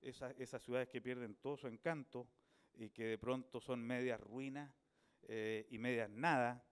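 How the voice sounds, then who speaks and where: A middle-aged Chilean man speaks in a smooth, resonant voice at an ordinary middle pitch. He gives a reflective talk about his book, thoughtful and unhurried, pausing to search for words. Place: book fair event.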